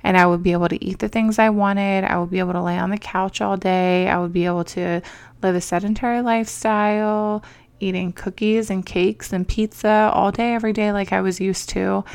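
A woman hosting a motivational podcast in an upbeat, encouraging, chatty way, her voice slightly raspy from a cold. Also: medium pitch, steady tone, brisk, darkish tone, no room sound. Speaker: podcast host.

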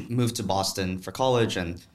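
A man from Vietnam with a low voice, answering interview questions as a startup founder. He speaks fluently and matter-of-factly in a studio setting, with the occasional "um.". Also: unhurried. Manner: fluent, matter-of-fact, with "um" fillers.

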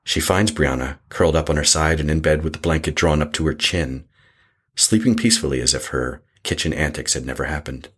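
A man narrating a scripted horror story in a measured, suspenseful manner. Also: rumbling low, fairly bright tone, slightly rough.

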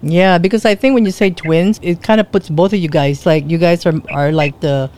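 A man hosting a radio interview show, asking questions in a casual, halting way and often repeating himself. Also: on the high side, steady tone, darkish tone.

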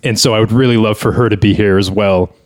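A man's voice: on the low side, steady tone, fast talker. A man hosting a podcast in casual comedic banter, sounding grumbling and exasperated. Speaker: podcast host.

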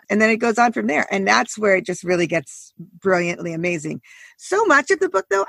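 A woman in her forties talking animatedly about a book in a casual discussion, with quick asides. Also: medium pitch, creaky, fairly bright tone, husky, dry recording. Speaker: book podcast co-host.

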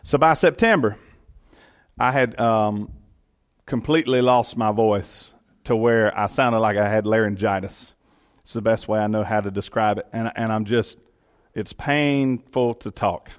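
A man's voice: on the low side, unhurried. Earnest Georgia man telling his story. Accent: Southern US, Georgia. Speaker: county commission chairman. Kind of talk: personal testimony to an audience.

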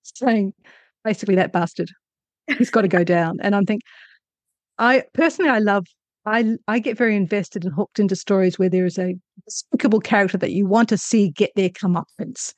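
Casting: female author guest, mid-pitched, reflective and searching for words, close dry sound. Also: fairly quick, a little mellow, no echo. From Australia. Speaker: author being interviewed. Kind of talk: conversational interview answers.

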